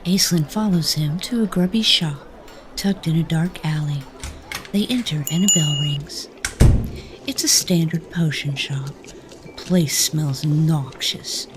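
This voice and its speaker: A woman with a very low-pitched voice reads scripted fantasy narration. She tells the story as its narrator, with faint music underneath. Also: measured pace.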